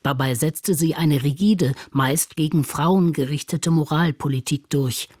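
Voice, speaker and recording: Husky narrator with a close, dry sound. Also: mid-range voice, a little slow, darkish tone, no room sound. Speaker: radio documentary narrator. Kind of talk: scripted narration.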